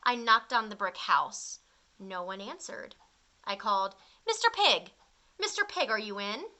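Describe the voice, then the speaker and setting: A woman's voice: mid-range voice, animated, measured pace, sharp tone. A woman voicing a self-justifying big bad wolf in a storybook, confiding and mock-innocent with exaggerated storytelling delivery.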